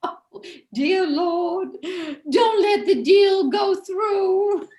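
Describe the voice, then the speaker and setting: Woman playing slow, gushing suburban housewife, roomy echo. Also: extremely high pitch, lively intonation, darkish tone, exaggerated voice. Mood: pleading, dramatic. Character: gushing, catty suburban housewife Lucy in 1960s satire. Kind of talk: staged play reading. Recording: roomy echoing video call audio.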